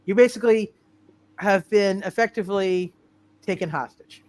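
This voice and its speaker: A man acting as game master of a superhero roleplay, halting and often repeating his phrases as he improvises. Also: high voice, steady tone, darkish tone. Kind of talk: live tabletop superhero roleplay, improvised narration.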